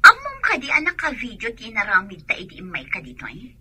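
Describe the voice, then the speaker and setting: A woman's voice: mid-range voice, wildly swooping pitch, fast, sharp tone, breathy edge, heavily acted. A woman with an Ilocano accent playing a scheming young mistress in a radio drama. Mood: threatening.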